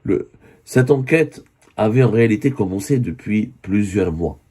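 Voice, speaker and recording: Hoarse low-voiced man. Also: lively intonation, moderate pace, warm tone. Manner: earnest, with many self-corrections. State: hoarse from a cold. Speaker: online news commentator. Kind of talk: spoken video commentary.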